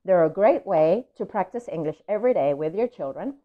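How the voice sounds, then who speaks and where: A woman hosting a children's story read-aloud, chatting to viewers in an expressive, child-directed way. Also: fairly low pitch, a little fast, muffled recording. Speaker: children's story reader and host.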